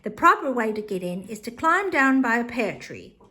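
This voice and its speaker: Little boy rabbit, acted by a woman, high voice, confident, bossy, emphatic, children's storybook character. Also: big pitch swings, normal speed, sharp tone. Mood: matter-of-fact.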